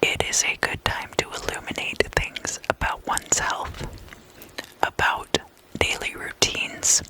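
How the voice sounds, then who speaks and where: A woman whispering in a breathy, unvoiced voice, guiding an ASMR meditation with soft, deliberate phrasing and hypnotically repeated words. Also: rough recording. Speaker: ASMR host and tarot meditation guide.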